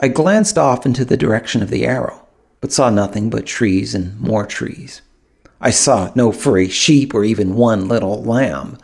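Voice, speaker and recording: A man narrating a first-person audiobook in an expressive storytelling manner, with dramatic pauses. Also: on the low side, vocal fry, breathy edge. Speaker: author narrating his own audiobook.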